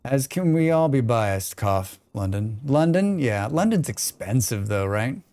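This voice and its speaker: A man's voice: medium pitch, big pitch swings, a little slow, dark tone, no echo. A man with a plain American accent chatting casually on a live game stream, rambling and talking back to his chat. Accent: General American. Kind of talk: casual chat while gaming.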